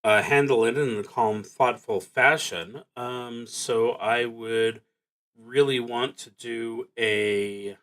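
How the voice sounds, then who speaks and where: A man narrates his own solo roleplaying game at a slow pace, pausing often as he reasons things out. His voice sits at an average pitch for a man. The manner is musing and wry, like a streamer talking through each move. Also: steady tone, fairly bright tone. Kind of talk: thinking aloud while narrating a solo tabletop game.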